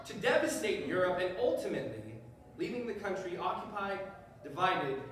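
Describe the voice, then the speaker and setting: A man on stage playing a principled defense attorney with a measured, rhetorical courtroom delivery. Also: sits high, very animated, fairly bright tone. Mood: measured, firm. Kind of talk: live stage readers theater. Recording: distant mic, echoey room, faint noise.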